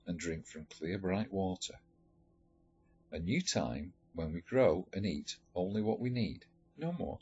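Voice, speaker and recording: Deep, husky male storyteller. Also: darkish tone. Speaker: storyteller reading aloud. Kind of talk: expressive read-aloud of a letter story. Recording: dull lo-fi recording with music behind. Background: background music.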